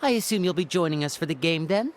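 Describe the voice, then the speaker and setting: Suave, lowish-voiced young man. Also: lively intonation, fast talker, very noisy. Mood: genial. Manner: smooth, courteous, easygoing. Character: suave, polite young male stage magician, genial. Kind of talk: video game character dialogue. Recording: faint music behind the voice.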